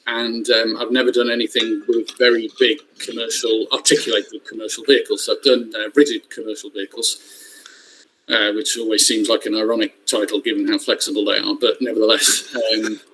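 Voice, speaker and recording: A man's voice: lowish voice, flat delivery, fairly quick, fairly bright tone, low-quality recording. An older man introducing himself informally as a co-presenter on an online call, with a wry, self-deprecating manner. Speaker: course co-presenter. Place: online video meeting. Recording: noticeable background noise on online call.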